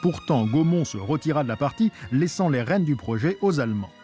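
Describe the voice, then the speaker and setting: A man's voice: a little high, lively intonation, a little fast, mellow. Male documentary narrator over music. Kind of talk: scripted narration.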